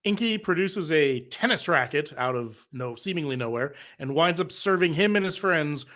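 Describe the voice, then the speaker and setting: A man narrates a cartoon script in a live reading. His voice is fairly high for a man, with a bright, forceful tone. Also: lively intonation, normal speed. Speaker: narrator at a table read.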